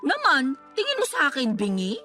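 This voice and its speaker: A woman's voice: high voice, wildly swooping pitch, moderate pace, pushed and bright, faint music behind, exaggerated voice. An actress playing a brash, teasing and irritable young girlfriend in a Filipino radio drama, with a taunting, confrontational manner. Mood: annoyed, taunting.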